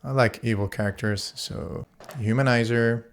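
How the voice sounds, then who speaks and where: A man's voice: medium pitch, normal speed, gravelly, heavy background noise. A man talking casually through a sound design tutorial as he works, playful and informal, thinking aloud. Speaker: sound designer demonstrating a voice plugin.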